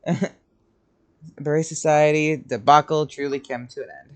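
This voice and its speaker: A man's voice: fairly high pitch, steady tone, normal speed. A man chatting casually and informally as he reacts aloud to a game, sometimes swearing. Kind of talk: casual chat, gameplay commentary. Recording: game music behind the voice. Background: video game music.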